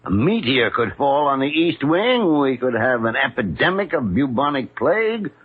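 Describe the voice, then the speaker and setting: Raspy, crusty, sardonic senior doctor. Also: male voice, medium pitch, sing-song, moderate pace. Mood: sardonic, blustery. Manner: blustery, repeats himself impatiently. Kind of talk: old-time radio acting. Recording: muffled, thin old radio recording.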